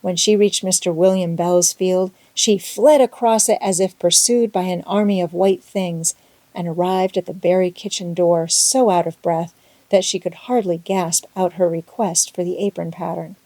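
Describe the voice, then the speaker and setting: A woman narrates an audiobook in a mellow, slightly low voice. Her scripted storytelling is expressive, and the sound is very dry, with no room around it. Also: moderate pace, no echo.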